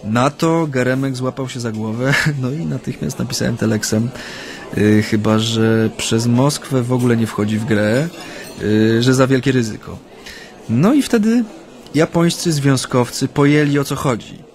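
A man's voice: mid-range voice, a little mellow, natural voice. Radio play role for a male actor: chatty Japanese man gone Polish, middle register, natural and hesitant delivery. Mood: animated reminiscing. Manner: hesitant, halting, with many hems and repetitions. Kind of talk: naturalistic radio drama dialogue.

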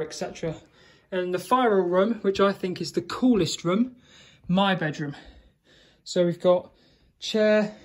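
A young man filming a chatty, meandering tour of a small holiday cottage on a handheld phone, walking from room to room. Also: high-pitched. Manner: chatty and meandering, with fillers and self-corrections. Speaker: vlogger. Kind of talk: casual house tour. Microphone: phone camera held in hand.

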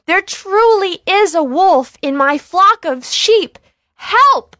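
A woman's voice: very high voice, animated, takes it slowly, bright, forceful tone, dry recording, studio quality, pitched above the performer's own voice, heavily acted. A woman voicing a young shepherd boy in a children's storybook, crying out earnestly. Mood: terrified shouting. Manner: crying out, exclamatory. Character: young shepherd boy, frightened, pleading, mischievous.

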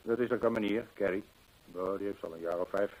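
An actor playing an earnest, discreet employee in a radio play, respectful and hesitant, repeating himself. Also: male voice, on the low side, fast talker, a little mellow, natural voice, poor audio. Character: deferential horse-stable employee, earnest, discreet witness. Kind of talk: Dutch radio play acting.

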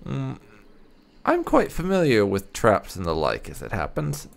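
Guy gaming host roleplaying through a game, gravelly, super swoopy intonation, musing commentary. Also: mid-range voice, wildly swooping pitch, unhurried. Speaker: gaming channel host roleplaying his character. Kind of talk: playthrough commentary, reading dialogue choices aloud.

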